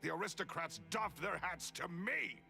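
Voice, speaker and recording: An actor voicing a scheming, jealous male magician villain in a video game, gravelly and menacing. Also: sits high, moderate pace, bright, forceful tone, faint music behind, exaggerated voice. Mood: boastful. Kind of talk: game voice acting.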